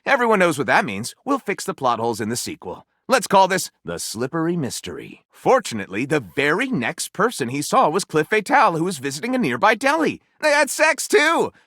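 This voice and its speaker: Pompous trashy novelist for a game, sardonic and theatrical, bright forceful voice, super animated. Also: male voice, fairly high pitch, a little fast, few pauses, no room sound, clean studio sound, heavily acted. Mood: excited. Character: excitable, pompous male author of trashy erotic detective novels. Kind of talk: video game character voice acting.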